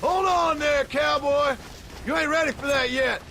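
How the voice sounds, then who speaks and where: A man playing a gruff, protective middle-aged rancher father in a video game, with a rural American Western accent and a plain-spoken, firm, folksy manner. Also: extremely high pitch, unhurried, a little hiss or hum, heavily acted. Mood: firm, cautioning. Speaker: game character actor. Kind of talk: dramatic video game dialogue. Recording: loud outdoor horse-ride ambience over dialogue.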